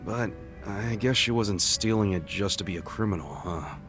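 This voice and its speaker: A man's voice: lowish voice, normal speed, lightly acted. An actor playing an earnest, plain-spoken male friend in a video game, talking colloquially and sympathetically with an American accent. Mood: concerned. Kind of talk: video game dub, conversational. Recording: music behind the voice.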